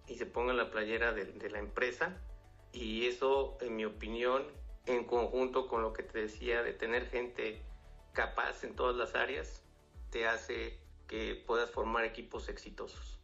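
A man's voice: medium pitch, level tone, a little slow, gravelly, fairly bright tone. A man, a finance professional giving earnest advice as an interview guest, often repeating words. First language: Spanish. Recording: dull lo-fi audio, faint music.

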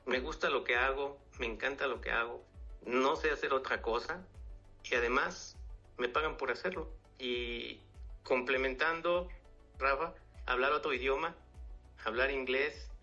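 Man in his 50s, interview guest, gravelly and bright-toned, earnest, dull lo-fi sound with faint music. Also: medium pitch. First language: Spanish. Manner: earnest, repeats words, tag questions. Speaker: guest, finance professional. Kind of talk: interview answers, advice.

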